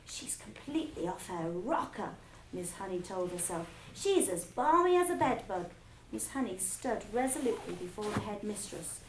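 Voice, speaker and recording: A woman teacher reading a story aloud to her class, expressive, stopping to explain words plainly. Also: mid-range voice, normal speed, slight background noise. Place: school classroom. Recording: echoey classroom, faint ambience.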